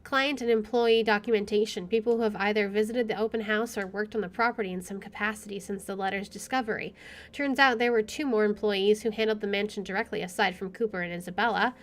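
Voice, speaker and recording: A woman's voice: medium pitch, fairly even intonation, fast talker. A young adult woman streaming a game, reading a visual novel aloud with casual commentary. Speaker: gaming streamer.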